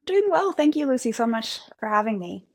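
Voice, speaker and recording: A woman's voice: a little high, very animated, fairly quick. Guest, female, Central American, Spanish native, easygoing and self-deprecating. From Guatemala and El Salvador. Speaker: guest engineer. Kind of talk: conversational podcast interview.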